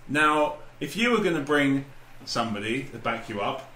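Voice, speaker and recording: A man's voice: mid-range voice, lively intonation, normal speed, pushed and bright. A man chatting casually as a podcast host among friends, telling stories in a sweary, joking way. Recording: echoey room, faint background noise.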